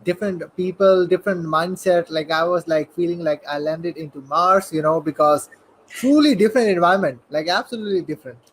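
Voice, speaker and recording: A young man in his early twenties, from Saudi Arabia, speaks in a high voice as a guest on an online show. He rambles with eager energy, leaning on "like" and "you know", and a faint hum of room ambience sits behind him. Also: brisk, rough recording. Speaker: guest entrepreneur. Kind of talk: casual interview talk.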